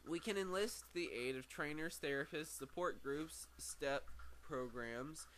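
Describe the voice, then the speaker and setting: A man's voice: on the high side, a little slow, a bit bright. Man reading aloud, stumbling over words, noisy background. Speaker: amateur audiobook reader. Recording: amateur recording with clear background noise.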